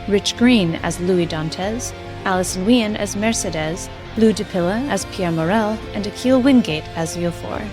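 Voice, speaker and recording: Woman reading out show credits, lowish voice, music playing behind. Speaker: credits announcer. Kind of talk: scripted credits narration. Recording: music bed under voice.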